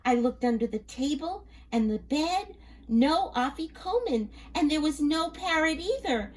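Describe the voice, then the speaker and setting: A woman's voice: high voice, animated, a little slow, poor audio. A woman reading a children's story aloud, expressively, sometimes turning to address her listeners.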